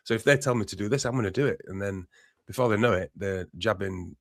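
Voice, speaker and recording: A man chiming in during an interview with quick remarks. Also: fairly low pitch, no echo, clean studio sound. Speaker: co-host. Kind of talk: conversational interview.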